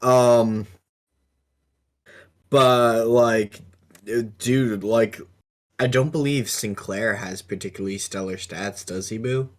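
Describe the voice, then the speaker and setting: A man co-hosting a podcast, casually discussing tabletop roleplaying in a halting, measured way. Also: medium pitch, steady tone. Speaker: podcast co-host.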